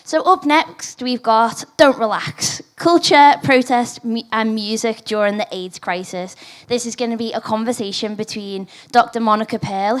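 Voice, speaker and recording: A woman hosting a live event, introducing speakers in an upbeat, enthusiastic way. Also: fairly high pitch. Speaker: event host. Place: live event room at a museum.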